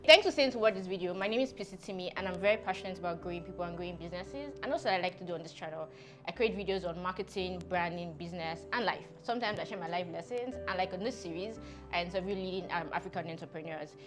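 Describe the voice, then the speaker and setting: Woman host, somewhat low voice, enthusiastic, music in the background. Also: fast talker. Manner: bubbly, enthusiastic, laughs often. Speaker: YouTube interviewer, channel host. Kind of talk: upbeat interview hosting.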